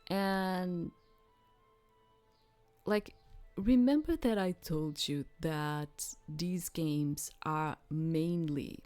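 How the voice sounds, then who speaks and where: Female gamer commentator, slightly low voice, halting casual delivery, repeats points, faint music underneath. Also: darkish tone. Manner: halting, repetitive, checks listener understands. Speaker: gamer presenting a game playthrough. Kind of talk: reading game text aloud with casual commentary.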